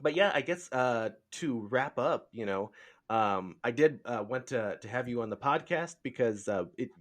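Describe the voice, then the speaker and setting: A man hosting a podcast in casual interview chat, speaking haltingly and rambling as he searches for words. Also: mid-range voice, animated. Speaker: podcast host.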